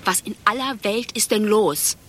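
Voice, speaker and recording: A woman's voice: mid-range voice, moderate pace, a bit bright, a little hiss or hum, close to an everyday voice. A woman playing a puzzled, curious bystander in a radio play.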